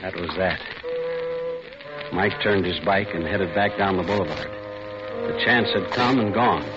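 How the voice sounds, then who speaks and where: Low-voiced tense radio-thriller narrator. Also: male voice, measured pace. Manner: hard-boiled, dramatic first-person storytelling. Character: tense middle-aged husband narrating his own ordeal. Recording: muffled old radio recording with music.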